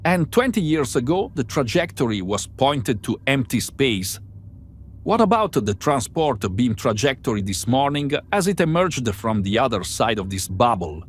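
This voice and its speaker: Man acting a precise, animated starship science man. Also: mid-range voice, moderate pace, a little hiss or hum, lightly acted. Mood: eager, reasoning. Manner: precise, methodical explaining. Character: analytical male starship crew member explaining scientific findings. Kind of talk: amateur sci-fi audio drama acting.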